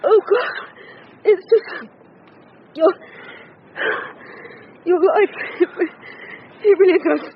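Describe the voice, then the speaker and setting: A woman's voice: extremely high pitch, slow pace, pauses often, full character voice. An actress playing a shaken female detective in a radio drama, gasping and tearful. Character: shaken female detective, just saved from drowning. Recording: muffled radio drama, faint noise.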